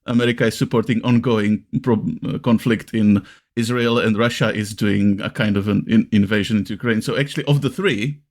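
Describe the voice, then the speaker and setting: A man's voice: lowish voice, fairly even intonation, a little mellow. A man with a Czech accent talking casually as a podcast host, rambling and often restarting his sentences. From Czech Republic. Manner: halting, rambling, frequent self-restarts.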